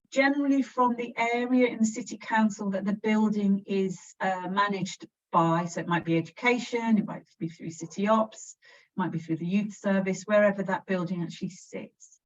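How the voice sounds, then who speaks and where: A woman with an English accent, a council officer explaining a process in an informal presentation, steady and practical with frequent restarts. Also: medium pitch. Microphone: video call. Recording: distant, dull video call audio.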